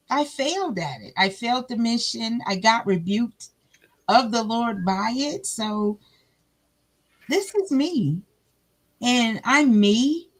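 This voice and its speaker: A woman with a big, loud voice talks through her faith story as a guest. She is a little higher than most women and speaks at an unhurried pace. She jumps into her own dialogue and acts out both sides. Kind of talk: faith testimony chat.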